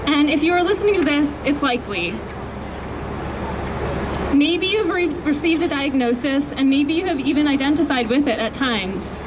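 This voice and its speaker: A woman with a soft voice giving an earnest speech at an outdoor rally, holding the microphone close, with fillers and restarts. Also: sits high, normal speed. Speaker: activist speaker at a rally. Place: outdoors on a city street. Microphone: handheld microphone held close. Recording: noisy outdoor street recording. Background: traffic and street noise.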